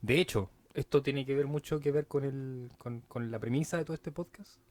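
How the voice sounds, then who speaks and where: A man's voice: mid-range voice, normal speed, darkish tone, dry recording. A young man with a Chilean accent co-hosting a podcast, talking casually and loosely with slang and lots of fillers. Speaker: podcast co-host.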